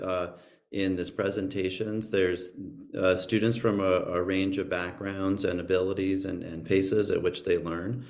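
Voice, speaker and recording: A male teacher on a video call, answering in a measured way with some restarts. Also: deep voice, darkish tone. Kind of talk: panel answer.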